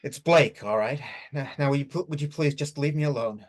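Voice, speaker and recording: A man's voice: fairly high pitch, a little fast, natural voice. A man playing a bitter, despairing man in his twenties in a drama reading, curt and defensive. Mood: guarded, irritated. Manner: curt, guarded, irritated.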